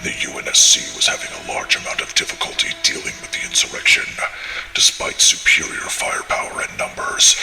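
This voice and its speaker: A gravelly alien warrior chronicler. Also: male voice, very deep voice, bright, forceful tone, roomy sound, exaggerated voice, poor audio. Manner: formal, measured military report delivery. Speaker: narrator of an audio log. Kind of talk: scripted in-character audio log narration.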